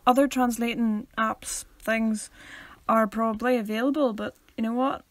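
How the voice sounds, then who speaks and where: A young woman co-hosting a casual podcast, chatty and teasing, quick to laugh. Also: fairly high pitch, fairly even intonation, no echo. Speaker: podcast co-host. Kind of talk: casual chat.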